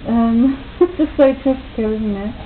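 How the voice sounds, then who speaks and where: A young woman chatting casually and self-deprecatingly in her living room, talking quietly into a built-in webcam mic. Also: normal speed. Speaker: home video maker. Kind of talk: casual home video chat. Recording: tinny low-quality webcam audio with faint hiss.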